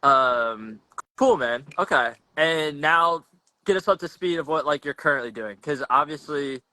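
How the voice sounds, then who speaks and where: Young male podcast host, slangy and easygoing, forceful bright tone, close dry sound. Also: fairly high pitch, a little slow, no echo, low-quality recording. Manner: laid-back, slangy, rambling with restarts. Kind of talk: casual chat.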